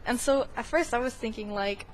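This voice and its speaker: A woman's voice: on the high side, fairly quick, low-quality recording. A woman doing casual, rambling gameplay commentary in a playful, giggly way as the host of a gaming video. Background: game sound.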